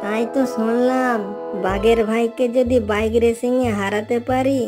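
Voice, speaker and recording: Female actor for cocky, slangy cartoon fox trickster; highish voice, prominent music bed. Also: steady tone, moderate pace, natural voice. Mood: cocky, excited. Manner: slangy, cheeky bragging. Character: cocky, cunning jungle fox, playful gang member. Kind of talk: Bengali animal cartoon dubbing.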